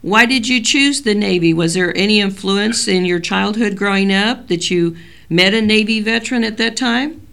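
A woman leads an oral history interview in a bright, forceful voice with a gravelly edge. She reads her introduction formally and asks her questions plainly. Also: mid-range voice, moderate pace. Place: library interview room.

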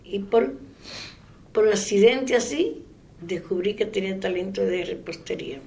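A woman in her eighties from the Dominican Republic with a Dominican accent, giving a soft-spoken oral history interview. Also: medium pitch, animated, unhurried, creaky. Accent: Dominican Spanish. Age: 80s. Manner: soft-spoken, trailing off into quiet.

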